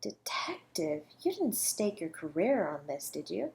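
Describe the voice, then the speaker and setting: A woman playing a self-assured academic under suspicion of murder in a flirty audio roleplay, teasing and composed, with deliberate, condescending delivery. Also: fairly low pitch, big pitch swings, vocal fry, a little mellow, slight background noise, full character voice. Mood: mocking. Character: coy, self-assured female academic, a murder suspect toying with detective. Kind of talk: flirty audio roleplay, one-sided dialogue.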